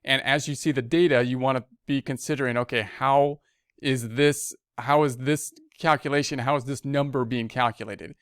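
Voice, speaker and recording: A man with a smooth, clear, mid-pitched voice leads a tutorial in a very dry recording. He talks conversationally, thinking aloud step by step. He often restates a point in slightly different words as he explains it. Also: steady tone, normal speed, dry recording. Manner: conversational, repeats and rephrases as he explains. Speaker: accounting instructor. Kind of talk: screencast tutorial, thinking aloud through a worksheet.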